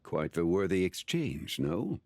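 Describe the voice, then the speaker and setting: A man voicing a composed, courteous antiques connoisseur in a video game, speaking in formal, measured, scholarly phrases. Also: deep voice, very animated, darkish tone, dry recording, exaggerated voice. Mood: courteous, insistent. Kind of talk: scripted video game dialogue.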